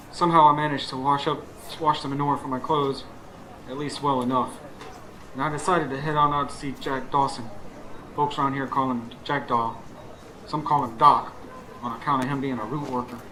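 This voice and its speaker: A man's voice: medium pitch, natural voice. Man playing haunted working-class fellow, weary, folksy, mid-range voice, radio play narration, slight noise behind. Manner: folksy, colloquial. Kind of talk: radio drama first-person narration and dialogue. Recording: faint noise, live stage recording.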